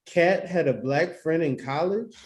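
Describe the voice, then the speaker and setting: A man's voice: a little high, unhurried, natural voice. A man acting an upbeat, joking best friend in a screenplay table read. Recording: roomy, echoey home recording.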